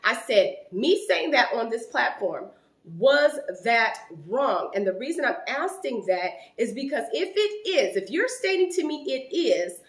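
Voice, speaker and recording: A woman in her late thirties telling a long personal story to her viewers in a casual, animated way. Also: sits high, a little slow, echoey room. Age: 30s. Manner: animated, rising loud when quoting an argument.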